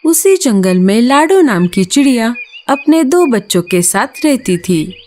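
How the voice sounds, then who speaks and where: A woman's voice: a little high, a little mellow, slight background noise. A woman giving scripted narration for a children's story.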